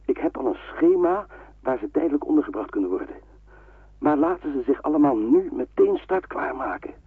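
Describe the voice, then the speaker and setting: A man's voice: fairly high pitch, expressive, fairly quick, husky, dry recording, full character voice. An actor playing an urgent, respectful resistance man in a wartime radio drama, speaking plainly. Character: urgent resistance farm man warning his pastor. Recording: dry, tinny telephone-like old radio recording.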